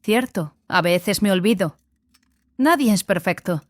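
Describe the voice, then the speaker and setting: A woman's voice: mid-range voice, animated, measured pace, dry recording, close to an everyday voice. Woman playing a touchy young sorcery student, dry studio. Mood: defensive, sardonic. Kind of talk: audiobook drama acting.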